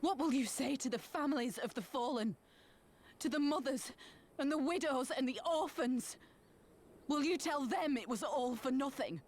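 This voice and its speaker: A woman's voice: sits high, normal speed, a little mellow. An actress playing an anguished, fiery young woman in a video game, impassioned and accusing. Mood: anguished reproach. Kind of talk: dramatic video game voice acting.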